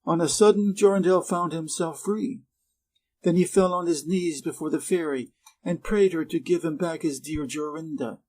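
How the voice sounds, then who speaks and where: A man from Wisconsin with an American accent, reading a fairy tale aloud slowly and clearly for English learners. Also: on the high side, darkish tone, poor audio. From Wisconsin, USA. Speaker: reader. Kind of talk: storybook reading.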